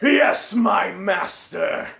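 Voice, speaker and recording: A man's voice: sits high, animated, very slow, vocal fry, fairly bright tone, echoey room, exaggerated voice. A man voicing a menacing, devoted vampire count in a comic stage reading. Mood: obedient, suave.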